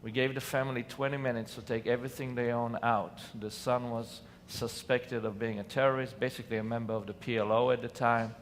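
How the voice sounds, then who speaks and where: A man's voice: mid-range voice, fairly even intonation, a little fast, hardly any pauses. A man from Israel with an Israeli accent, telling personal stories earnestly to a conference audience, with sudden emphatic bursts. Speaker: conference speaker. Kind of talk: personal storytelling talk on stage. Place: large hall on a lit stage. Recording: distant hall reverb, faint room noise.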